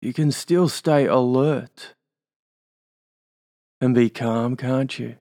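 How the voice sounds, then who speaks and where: A man's voice: medium pitch, steady tone, slow pace, warm tone, no echo, clean studio sound. A man with an Australian accent leading a guided hypnosis induction, giving soothing suggestions and tag questions.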